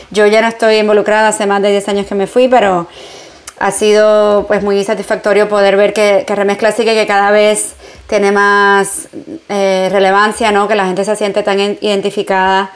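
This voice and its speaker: Reflective Puerto Rican woman journalist. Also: medium pitch. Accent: Puerto Rican Spanish. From Puerto Rico. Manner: reflective, mixes in English phrases, frequent fillers. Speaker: journalist and entrepreneur, guest. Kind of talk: conversational interview answers.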